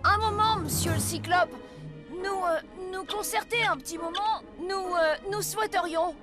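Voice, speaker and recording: A woman's voice: very high voice, normal speed, loud music behind the voice. A woman voicing an earnest, superstitious boy ninja pupil in a French cartoon dub, with a reproachful manner. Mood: hesitant.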